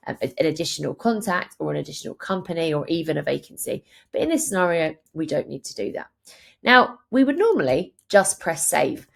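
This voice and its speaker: Lady doing a screen share tutorial, deep-ish voice w some vocal fry, explains it step by step. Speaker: software tutorial presenter.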